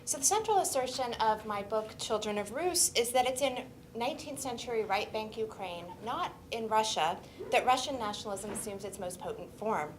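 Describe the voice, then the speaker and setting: A woman historian presenting her book at an academic seminar, speaking in a measured, scholarly way with occasional restarts. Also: on the high side, animated, a little hiss or hum, poor audio. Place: seminar room.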